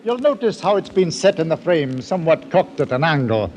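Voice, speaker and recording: An actor playing a stolid, literal-minded sea captain with a hesitant, matter-of-fact manner in a 1940s radio drama. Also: male voice, a little high, big pitch swings, brisk. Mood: fussy, deliberate. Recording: noisy old radio recording.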